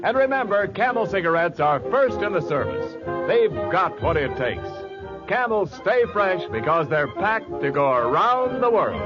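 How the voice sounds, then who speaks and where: A man's voice: sits high, big pitch swings, measured pace. An American man announcing a 1940s radio show from a script. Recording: dull 1940s radio recording with music.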